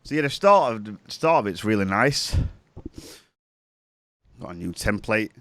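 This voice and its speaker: A man's voice: on the low side, sing-song, unhurried, fairly bright tone, no echo, clean studio sound. A man with a cold, chatting casually while giving a music production tutorial at his computer. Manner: chatty, rambling, self-correcting. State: has a cold, sniffly. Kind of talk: casual screen-share tutorial.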